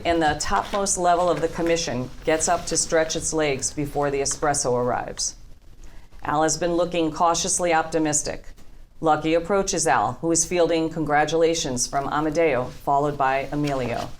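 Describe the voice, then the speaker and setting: Low-voiced woman narrating a script. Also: steady tone, moderate pace, a little hiss or hum. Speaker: narrator reading stage directions. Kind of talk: scripted narration at a table read.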